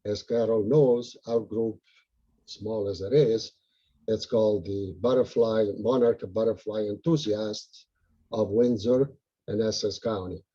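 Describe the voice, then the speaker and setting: A man's voice: lowish voice, steady tone, unhurried, warm tone, muffled recording. A man with an Italian accent, a local butterfly group organizer, talking earnestly and rambling with many fillers on a video call.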